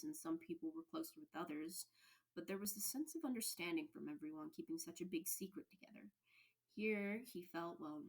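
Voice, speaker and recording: A woman reading fan fiction aloud as expressive story narration. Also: lowish voice, low-quality recording. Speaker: fan fiction podfic reader.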